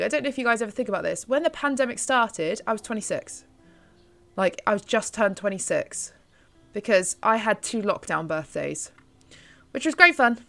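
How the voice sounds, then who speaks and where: A woman's voice: a little high, vocal fry, pushed and bright. A British woman in her twenties, just woken up, chatting casually and giggling as she plays a horse game. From United Kingdom. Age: 20s. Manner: chatty, giggly, gushing over cute foals. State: just woken up, voice lower than usual. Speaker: gaming YouTuber. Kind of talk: casual let's-play commentary.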